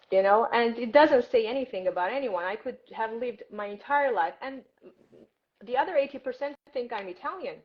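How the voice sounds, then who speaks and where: A woman's voice: on the high side, normal speed, pauses often, dull audio. A woman from Armenia, a native Armenian speaker who grew up in several countries, chatting expressively as an English teacher and guest, acting out bits of dialogue. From born in Armenia, moved abroad at six and grew up in several countries. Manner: expressive, acts out reported dialogue. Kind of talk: animated casual conversation with personal anecdotes.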